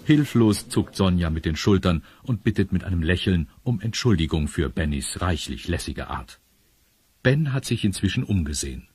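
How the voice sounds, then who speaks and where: A man with a husky voice reading scripted narration for a children's audio story. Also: sits low, lively intonation, normal speed, a little mellow. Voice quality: husky, raspy. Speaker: narrator of a children's radio play.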